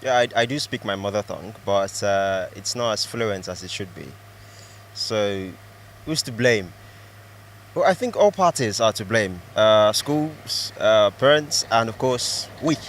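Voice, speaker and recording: A man from Nigeria answering a street interview, thoughtful and hesitant, pausing with fillers. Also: on the low side, animated, a little hiss or hum.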